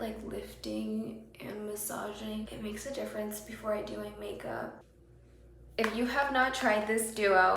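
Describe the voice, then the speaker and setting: A woman chatting her way through a makeup tutorial, her voice a little raspy because she is losing it, sometimes dropping to a soft whisper while she applies products. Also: medium pitch, reverberant, slight background noise. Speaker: beauty creator.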